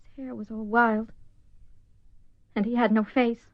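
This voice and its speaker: A young woman in mourning in a radio play, anxious and defensive. Her fairly high voice is plaintive and emotional. The recording is very dry and a little dull. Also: flat delivery, a little slow, no room sound. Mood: frightened. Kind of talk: radio drama acting.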